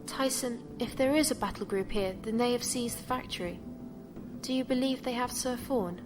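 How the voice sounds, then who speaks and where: An actress playing a composed, formal ship's artificial mind, speaking precisely and with measured care in a science fiction audio drama. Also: female voice, fairly high pitch, close to an everyday voice. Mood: concerned. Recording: music behind the voice.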